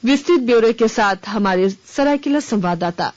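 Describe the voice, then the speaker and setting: A woman reading a scripted regional radio news bulletin in a formal, measured manner. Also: on the high side, lively intonation, darkish tone, no echo. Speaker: news reader. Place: dry radio studio. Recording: dull, band-limited radio broadcast.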